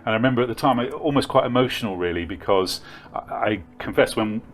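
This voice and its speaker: A man interviewing a younger churchgoer, asking gentle questions and adding encouraging affirmations. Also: mid-range voice, fast. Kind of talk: casual interview.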